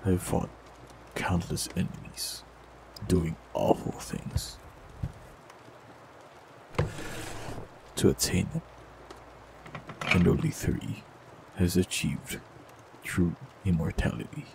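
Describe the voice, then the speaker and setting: A man playing a gravelly ancient forest warlock. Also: low-pitched, slow, pauses often, exaggerated voice. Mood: somber. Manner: dry, teasing humor. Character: ancient immortal male forest warlock, teasing. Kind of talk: audio roleplay acting. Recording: steady rain noise behind voice. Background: rain.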